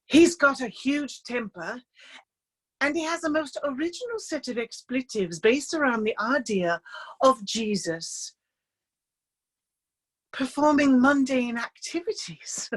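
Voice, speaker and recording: Woman playing a wry, high-voiced, unhurried artist's model. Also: expressive, full character voice. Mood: fond. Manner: conversational, hesitant, dryly amused. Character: wry, chatty female life-drawing model, talking confidingly. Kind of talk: theatrical monologue in a staged reading. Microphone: video call.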